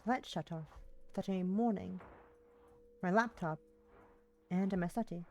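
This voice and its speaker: A woman's voice: lowish voice, moderate pace, dark tone, a little hiss or hum. A woman live streaming, chatting casually and reading visual novel text aloud, now and then tripping over words and rereading them. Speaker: live streamer playing a game.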